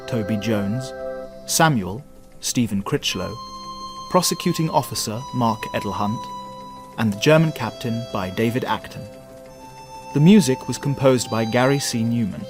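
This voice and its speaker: A man reading the closing credits as announcer in a formal, even delivery. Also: mid-range voice, a little slow. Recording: read over music.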